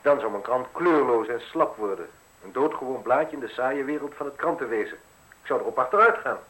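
A man's voice: medium pitch, lively intonation, fairly quick, fairly bright tone, close to an everyday voice, low-quality recording. An actor playing a guarded, world-weary newspaperman in a radio drama, speaking in terse, wary questions. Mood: reluctant. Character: guarded, world-weary male newspaperman under threat of prison.

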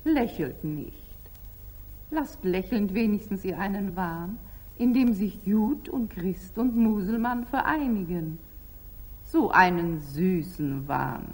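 A devoted, stubborn middle-aged companion in a 1940s German radio play. Her husky, mid-pitched voice declaims earnestly and sentimentally on an aged recording. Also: expressive, slow pace. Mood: earnest, pleading. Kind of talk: 1940s German radio drama stage acting.

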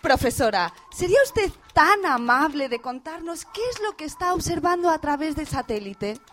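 A woman's voice: high-pitched, animated, moderate pace, fairly bright tone, a little hiss or hum. A woman playing an eager radio field reporter in a parody radio drama, formal and very courteous. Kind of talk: radio theatre, mock live reporting.

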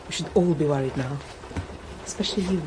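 An actress in a radio drama playing a protective, watchful family retainer who speaks in a guarded, solemn way. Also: female voice, low-pitched, fairly quick, background noise, close to an everyday voice. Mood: foreboding.

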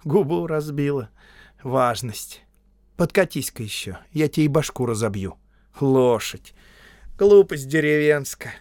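A man's voice: mid-range voice, animated, measured pace, a little mellow, dry recording, studio quality, voice pushed up, natural voice. A man voicing a tough young peasant woman in an audiobook story, curt, scolding and defiant in rustic speech. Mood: contemptuous.

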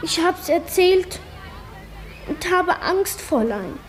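A woman's voice: very high-pitched, animated, slow, faint music behind, some chatter behind, exaggerated voice. A female performer playing a shy, earnest little schoolgirl in a German radio drama, with hesitant, childlike answers. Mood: tearful, defensive. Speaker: actor in a radio play.

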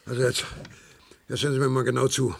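A man's voice: mid-range voice, flat delivery, fast, vocal fry. A man playing a flustered, officious technician in a German science fiction radio drama, bossy, indignant and prone to stammer. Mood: impatient, commanding. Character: flustered, officious male technician losing patience.

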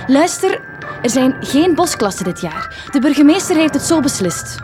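A prim Flemish schoolteacher with a fairly high voice. She sounds sugary yet strained, in comic radio drama style, over clear music. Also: very animated, normal speed. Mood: exasperated, firm.

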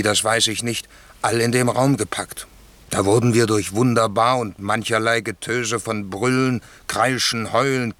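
A man's voice: medium pitch, fairly bright tone, no room sound, close to an everyday voice. A man playing an amazed seaman who reports to his lord in vivid, respectful fashion in a classic radio play.

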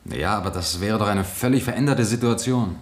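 An actor playing a terse, stubborn police lieutenant testifying in a radio drama, with clipped, guarded answers. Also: male voice, on the low side, fairly quick, natural voice. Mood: defensive. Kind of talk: 1970 German radio drama acting. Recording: roomy vintage radio studio sound.

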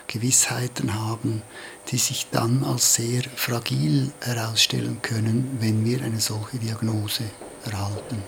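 A man speaking as a medical expert, giving a deliberate, formal, slightly halting explanation. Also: medium pitch, vocal fry, slight background noise. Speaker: psycho-oncologist.

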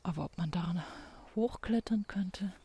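A woman's voice: fairly low pitch, normal speed, dark tone, breathy edge, no echo. A woman improvising experimental spoken word in a musing, repetitive way. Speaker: participant in a sound-art walk.

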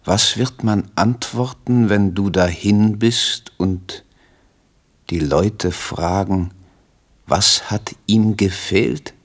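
Sad mad poet, radio play, low voice, super slow, halting and brooding, lyrical. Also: male voice, slightly rough, exaggerated voice. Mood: melancholy, lost. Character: withdrawn, melancholy mad poet lost in reverie. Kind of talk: German radio play acting.

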